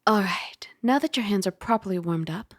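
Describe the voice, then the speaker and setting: Soft-spoken caring dragon girl roommate, played by a woman, close and dry. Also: mid-range voice, lively intonation, normal speed, no echo, lightly acted. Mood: reassuring, soothing. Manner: gentle, playful, conversational, with sighs and hushed asides. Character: caring, teasing, mildly scolding young dragon girl roommate. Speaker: ASMR roleplay voice actor. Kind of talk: soft-spoken ASMR roleplay acting. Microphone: close microphone.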